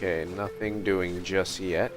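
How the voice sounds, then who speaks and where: A man with a low-pitched voice talks his way casually through a game on a livestream. He speaks slowly in short, thinking-aloud phrases, with music clearly playing behind him. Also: steady tone. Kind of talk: casual gaming livestream commentary.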